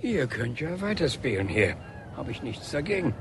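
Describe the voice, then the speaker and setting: An actor playing a stubborn, quietly defiant painter, calm and dry, in a radio drama. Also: male voice, medium pitch, moderate pace, noisy background.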